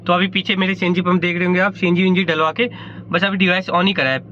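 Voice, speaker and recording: A man's voice: high-pitched, steady tone, quick pace, bright, forceful tone, lo-fi sound, slight background noise. A man, a ride-hailing driver, vlogs casually from his car and a fuel station, rambling and correcting himself, with a slightly sore throat. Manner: chatty, rambling with self-corrections. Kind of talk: casual vlog narration.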